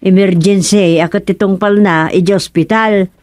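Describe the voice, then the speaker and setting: A woman acting a fussing, exasperated village wife in an Ilocano radio comedy drama, with an expressive, exclamatory delivery. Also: mid-range voice, moderate pace, no room sound, studio quality, natural voice. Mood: urgent, bossy.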